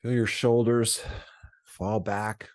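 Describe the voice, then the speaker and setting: A man leading a guided meditation as a teacher, speaking gently and soothingly in short phrases with frequent pauses. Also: on the low side, little pitch movement.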